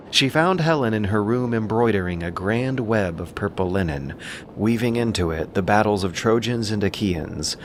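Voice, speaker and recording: A man's voice: low voice, noisy background. A man narrating an epic audiobook in a solemn, literary storytelling manner. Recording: music behind the voice.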